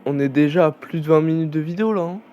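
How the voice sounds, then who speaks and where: A man's voice: on the high side, quick pace, darkish tone, muffled recording. A young man chatting casually over a racing game, rambling with many fillers and restarts. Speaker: gaming channel host. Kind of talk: casual gameplay commentary.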